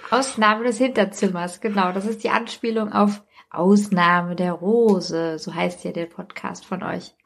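A woman's voice: mid-range voice, steady tone, unhurried, vocal fry, few pauses. A woman co-hosting a casual comedic podcast with playful, mock-puzzled banter. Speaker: podcast co-host.

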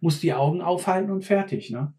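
A man talks bluntly in a relaxed chat. His voice sits a touch high for a man, and he keeps circling back on his own words as he hunts for the next thought. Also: a little fast. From Ruhr area, Germany. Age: 50s. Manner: blunt, repeats words while searching. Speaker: former mine fitter, guest. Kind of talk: casual conversation.

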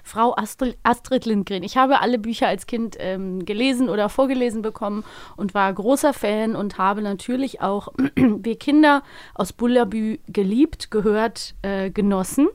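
A woman's voice: medium pitch, normal speed, no room sound. A young woman hosting a podcast, chatting casually with a co-host. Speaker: host of an audio drama review podcast.

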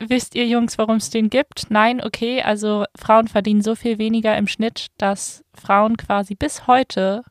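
A woman co-hosting a casual podcast, talking in a chatty, animated storytelling way. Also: fairly high pitch, measured pace, hardly any pauses, dry recording, clean studio sound. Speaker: podcast co-host. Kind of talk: casual chat. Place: small recording studio.

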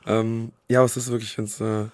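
A man who is sick and hoarse, co-hosting a podcast in casual chat, often halting to search for words. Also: on the low side, level tone, slight background noise. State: hoarse from a cold. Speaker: podcast co-host.

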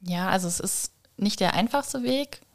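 A woman's voice: mid-range voice, lively intonation, moderate pace. A woman speaking as an interview guest in casual, informal chat, tentatively qualifying what she says. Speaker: podcast guest, freelance designer. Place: kitchen.

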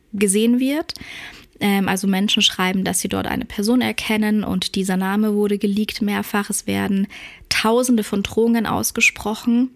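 A woman hosting a podcast, talking earnestly with many fillers and self-corrections, her voice hoarse from a cold. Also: mid-range voice. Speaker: podcast host and dog trainer. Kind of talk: casual podcast monologue. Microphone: podcast microphone.

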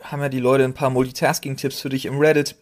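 A man's voice: medium pitch, level tone, quick pace, no room sound. A man co-hosting a podcast, talking in a casual, conversational way. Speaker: podcast co-host.

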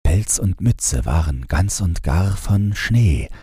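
Very deep, husky male storyteller. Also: no room sound, studio quality. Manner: calm, measured, gentle storytelling. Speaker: audiobook narrator. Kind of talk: bedtime fairy-tale narration, read from script.

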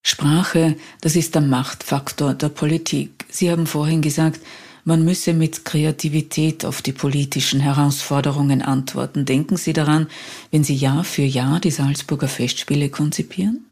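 A woman hosting a podcast, reading a prepared introduction and asking formal, measured interview questions. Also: low voice, steady tone, darkish tone, slightly rough.

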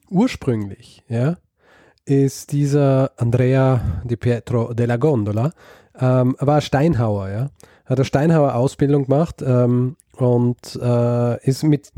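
Hesitant man telling a story, mellow voice. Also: medium pitch, no room sound, studio quality. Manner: hesitant, many ums and restarts. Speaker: podcast host telling a story. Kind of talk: casual storytelling chat.

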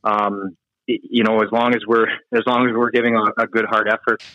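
A man giving casual, halting interview answers as a hockey player. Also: fairly low pitch, flat delivery, bright, forceful tone, no room sound, dull audio. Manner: hesitant, halting, frequent you-knows. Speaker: professional ice hockey player being interviewed.

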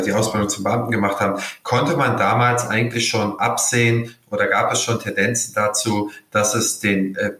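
Low-voiced male podcast host, roomy. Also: fairly bright tone. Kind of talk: podcast interview.

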